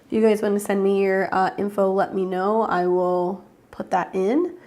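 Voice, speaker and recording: A woman's voice: medium pitch, fairly even intonation, normal speed, a little mellow. Congested woman, astrologer hosting a livestream, chatty, lots of ums, greets viewers. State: congested with a cold. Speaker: astrologer hosting live readings. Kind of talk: casual livestream chat.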